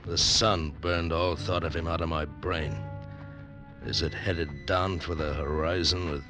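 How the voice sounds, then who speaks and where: Hard-bitten adventurer, very deep voice, terse clipped narration, music under an old radio broadcast. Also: male voice, steady tone, unhurried. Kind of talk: 1940s radio acting.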